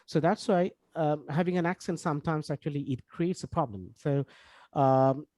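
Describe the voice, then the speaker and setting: A Bangladeshi-accented man, a native Bengali speaker, gives an informal online lecture as an instructor. His voice sits a little high for a man. He explains in a halting, repetitive way full of small asides, and the sound is dry, without room echo. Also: darkish tone. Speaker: English course instructor.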